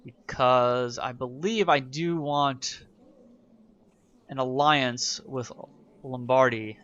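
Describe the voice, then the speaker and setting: A man's voice: mid-range voice, slow, a bit bright, dull audio. A man giving casual, wry commentary while playing a strategy game, thinking aloud as he goes. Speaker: gaming YouTuber. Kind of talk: let's play commentary.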